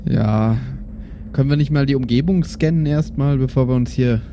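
A man's voice: medium pitch, normal speed, mellow. A man playing a tabletop role-playing game, speaking in casual, everyday chat. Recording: background noise and room ambience.

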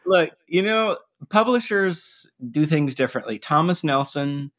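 A man's voice: on the high side, expressive, measured pace, a bit bright, no echo, clean studio sound. A man from Guatemala answering interview questions in an easygoing, modest way. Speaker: guest theologian.

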